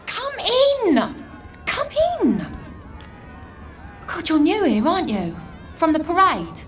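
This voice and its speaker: A woman's voice: very high voice, huge pitch swings, slow, slight background noise, exaggerated voice. An actress playing a scornful, streetwise homeless woman in a video game, with an English accent and a blunt, mocking manner. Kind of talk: video game character acting.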